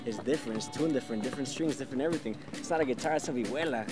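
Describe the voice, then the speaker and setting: A man's voice: medium pitch, very fast. A young man in a band, chatting casually about the group's music on an outdoor basketball court. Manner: relaxed, conversational, switches into Spanish. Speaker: band bass player. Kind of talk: casual interview chat. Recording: loud band music in background.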